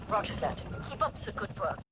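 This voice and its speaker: A woman's voice: mid-range voice, very animated, a little fast, noisy background, lightly acted. A woman playing a stern, composed resistance leader in a video game, giving clipped, commanding briefings. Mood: businesslike. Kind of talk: video game character acting.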